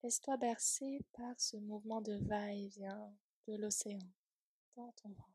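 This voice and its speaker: A woman leading a guided yoga nidra relaxation in a soft, breathy, soothing voice, gently trailing off at times toward a whisper. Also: medium pitch, steady tone, unhurried, no echo.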